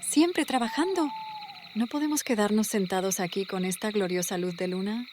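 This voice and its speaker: A woman's voice: mid-range voice, moderate pace, lightly acted. A woman playing a sentimental, aggrieved woman pleading for romance in an audio horror story. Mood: hurt, pleading. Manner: plaintive, aggrieved. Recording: noisy, night insects behind.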